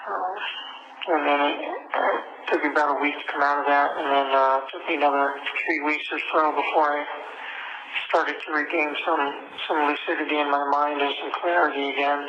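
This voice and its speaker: Male inmate on a noisy phone call. Creaky voice, hesitant casual talk. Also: a little high, fairly even intonation, normal speed. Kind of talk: casual private phone conversation. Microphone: telephone handset. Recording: noisy jail phone line recording.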